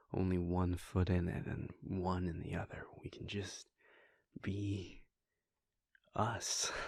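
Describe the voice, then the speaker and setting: Male performer as a vampire prince, earnest and intimate; gravelly, level delivery, dry close sound. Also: low voice, no echo, heavily acted. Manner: hushed, halting and intimate, with soft sighs and pauses. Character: vampire prince, adult man, earnest and devoted. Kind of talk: audio roleplay aimed at the listener.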